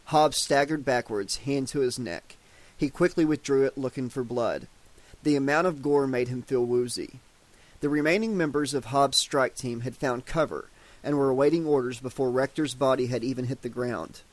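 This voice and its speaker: A man narrating an audiobook with a steady storytelling delivery. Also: medium pitch, moderate pace. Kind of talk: scripted narration.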